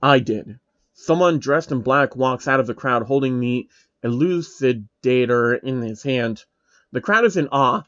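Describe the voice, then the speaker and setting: A man's voice: mid-range voice, unhurried, fairly bright tone, no room sound, dull audio. A man narrating fan fiction in an informal, comic way, stumbling over the text.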